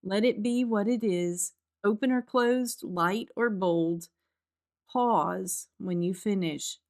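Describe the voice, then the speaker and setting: A woman narrating a scripted guided meditation in a soft, gentle, calm and soothing way, as the guide of the practice. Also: fairly low pitch. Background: instrumental music.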